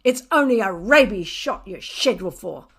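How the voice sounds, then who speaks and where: A woman as a fed-up storybook father, stern, dryly sarcastic, bright-edged, widely varying pitch. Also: expressive, moderate pace, slightly rough. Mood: exasperated. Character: exasperated, sarcastic father of a young girl. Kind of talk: children's storybook character reading.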